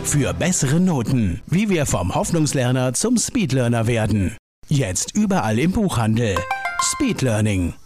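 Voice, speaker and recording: A man announces a book in a punchy promotional read, his pitch swinging lively up and down. Clear music plays under his voice. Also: unhurried. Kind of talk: scripted ad voice-over.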